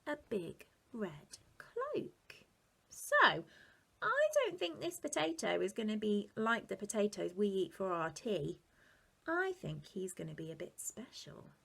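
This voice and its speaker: A woman teacher reading a picture book to children, animated and asking them questions, often dropping to a whisper. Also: medium pitch, a little slow, vocal fry, breathy edge.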